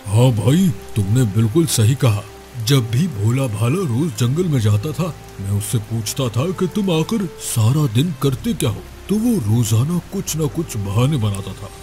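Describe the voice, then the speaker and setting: A man's voice: medium pitch, lively intonation, normal speed, mellow, soft background music, natural voice. A man playing a nosy, suspicious villager in a scripted cartoon moral story. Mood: gossiping, suspicious.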